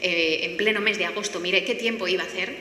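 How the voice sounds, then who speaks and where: Bright-voiced Spanish woman presenting in echoey hall. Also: on the low side, fairly even intonation, fast talker. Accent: Spanish from Spain. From Galicia, Spain. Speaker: lawyer giving a forum talk. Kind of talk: semi-formal public presentation. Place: indoor forum hall. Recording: echoey hall, faint noise, roomy.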